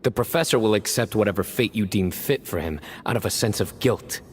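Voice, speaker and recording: A man playing a stern young fantasy game hero, serious and measured in how he talks. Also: fairly low pitch, slight background noise, natural voice. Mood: grave, reasoning.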